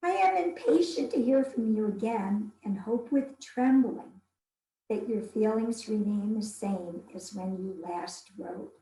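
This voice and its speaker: A woman plays a devoted young wife of the 19th century, reading her letters in a dramatic style. Her voice is soft-edged and mellow, her phrasing formal and earnest. The room adds a noticeable echo. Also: medium pitch, measured pace, natural voice. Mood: tender, hopeful. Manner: formal, heartfelt, literary delivery.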